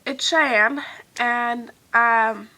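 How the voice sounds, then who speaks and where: A young woman with a higher, bright and clear voice talks to her viewers in a loose, rambling vlog chat. Her nose is badly stuffed, giving her words a congested sound. She fills pauses with lots of "um"s and often lets her sentences trail off softly. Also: steady tone, rough recording. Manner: rambling and chatty with many fillers, trailing off softly. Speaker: vlogger.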